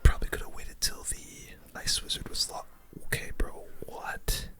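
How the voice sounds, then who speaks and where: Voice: measured pace, pushed and bright. A person whispering informal, slangy ASMR commentary over gameplay as the host of a gaming video.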